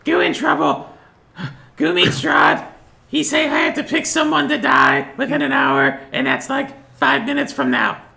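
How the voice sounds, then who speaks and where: A man voicing a dim-witted, childlike small creature in a tabletop game, with broken grammar and a creaky voice. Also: very high-pitched, steady tone, unhurried, bright, forceful tone, heavily acted. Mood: alarmed. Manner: broken simple grammar, refers to self by name.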